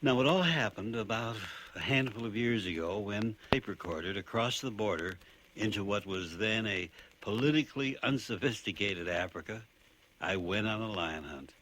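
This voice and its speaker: Low-voiced wry safari storyteller. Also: male voice, animated, fairly bright tone, rough recording. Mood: wry storytelling. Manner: measured, wry, deliberate phrasing. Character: skeptical, weary male playwright narrating his safari. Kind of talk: dramatic first-person radio narration.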